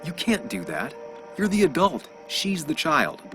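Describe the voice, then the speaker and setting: A man's voice: on the high side, huge pitch swings, measured pace, music in the background. An actor playing a man's moralising imaginary double in a video game, urging and admonishing. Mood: urgent, admonishing. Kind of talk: video game dramatic acting.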